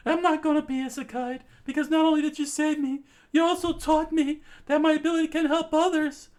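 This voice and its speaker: A woman voicing an anxious, excitable teenage boy who rambles and stumbles over his words. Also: high voice, fairly quick, lightly acted. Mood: earnest. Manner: rambling, excitable, breaks into laughs.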